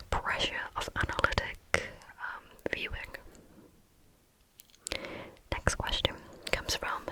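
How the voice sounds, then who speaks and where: A woman answering viewer questions in a frank, chatty ASMR whisper, often pausing on "um". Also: sharp tone, rough recording. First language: English.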